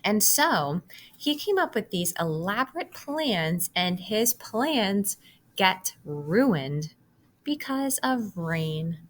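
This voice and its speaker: A woman's voice: medium pitch, very animated, slow pace, slight background noise. A woman reading a children's story aloud expressively, in the manner of a teacher. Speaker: read-aloud reader, teacher.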